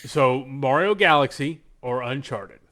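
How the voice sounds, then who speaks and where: A man with a bright, forceful voice at a medium pitch, co-hosting a casual podcast chat. He talks informally, with slangy phrasing and emphatic choices. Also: measured pace, slight background noise. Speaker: podcast co-host. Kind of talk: casual chat, picking movies in a bracket game.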